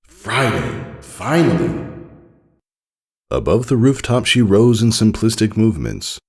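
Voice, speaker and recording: A man narrating a scripted story in a measured, dramatic storybook style. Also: fairly low pitch, sing-song, darkish tone, slightly rough. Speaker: fan fiction narrator.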